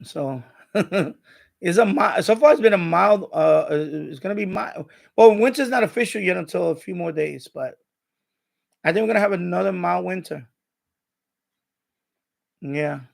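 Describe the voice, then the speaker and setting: A man's voice: sits high, moderate pace, gravelly, long pauses. An older man hosting a live stream with casual, rambling chat, often hesitating and repeating himself.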